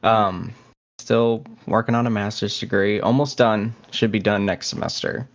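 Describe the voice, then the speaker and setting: Young man, lowish voice, hesitant low-key podcast chat, slightly muffled lo-fi recording. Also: fairly even intonation, normal speed. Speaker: podcast co-host. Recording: dull, lo-fi audio.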